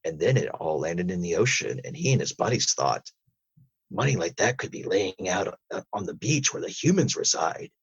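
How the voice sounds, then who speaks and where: Gruff whale interrogator male actor, husky and deliberate, stern, improvised audio drama, dull close sound. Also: sing-song, heavily acted. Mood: stern, matter-of-fact. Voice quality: husky, low. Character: gruff whale interrogator hunting crab drug smugglers.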